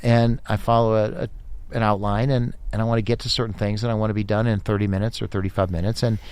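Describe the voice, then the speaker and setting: Low-voiced man, lively casual storyteller. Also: fast, smooth. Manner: animated, talks in bursts with restarts. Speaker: podcast host, guest here. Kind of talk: casual interview chat.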